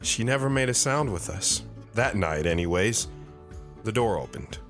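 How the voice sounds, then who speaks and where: A man's voice: lowish voice, animated, normal speed, fairly bright tone, faint music behind. A man playing a devoted, reflective wandering father who tells his own story in an audio drama, earnest and sentimental. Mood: reflective, tender. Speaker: narrator.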